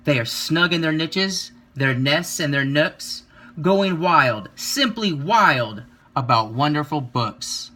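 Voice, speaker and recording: A man reads a children's story aloud in a fairly high, bright and forward voice. He follows the rhythm of the verse expressively, leaning on key words and stretching them out. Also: expressive, slow pace. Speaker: reader of a children's picture book.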